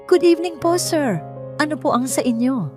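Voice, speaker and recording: A woman's voice: sits high, normal speed, over music, voice pushed up, close to an everyday voice. A woman voicing a polite, easily frightened young waitress in an audio drama. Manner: polite, deferential.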